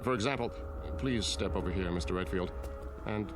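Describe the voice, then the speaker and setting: An actor as a low-voiced, genteel town leader. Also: male voice, background noise, natural voice. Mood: genial, demonstrating. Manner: genteel, measured, explanatory. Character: courteous, self-assured male leader of a secretive utopian town. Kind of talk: radio drama acting. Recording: music under radio drama dialogue.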